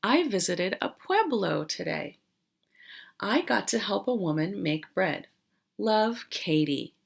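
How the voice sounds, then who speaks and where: A woman teacher doing an interactive read-aloud for young children, explaining as she goes and pausing after questions. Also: medium pitch, sing-song, vocal fry, husky. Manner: questioning, explanatory, with pauses for answers.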